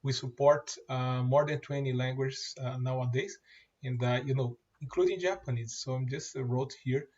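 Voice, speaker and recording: Male presenter, native Portuguese speaker, demoing software, mid pitch, halting delivery, muffled recording. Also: fairly even intonation, husky. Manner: halting, many fillers and restarts. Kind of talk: screen-share product demo.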